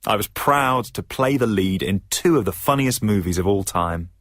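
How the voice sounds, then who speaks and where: A fairly low-voiced man in a dry studio sound. He plays a wry comedian looking back, measured and reflective, in a radio drama. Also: expressive, a bit bright, no echo.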